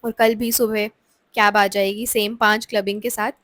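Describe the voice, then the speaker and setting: A woman's voice: mid-range voice, flat delivery, moderate pace, fairly bright tone, no echo, clean studio sound. A young woman, narrating her vlog in a chatty voice-over and mixing in English, with a sore throat from a cold. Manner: chatty Hinglish storytelling. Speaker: vlogger. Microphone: close voice-over mic.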